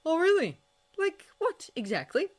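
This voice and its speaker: A woman's voice: extremely high pitch, huge pitch swings, unhurried, voice pushed up, full character voice. A woman voicing an awkward, joking young blacksmith, in a fan fiction reading. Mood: playful. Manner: playful, defensive.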